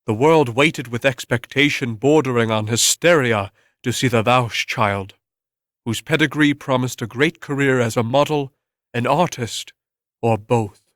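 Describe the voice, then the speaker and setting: A man reads a story as an audiobook narrator, with a measured and deliberate delivery. His voice sits at a medium pitch. The recording is close and dry. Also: fairly bright tone, dry recording. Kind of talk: scripted dramatic story narration.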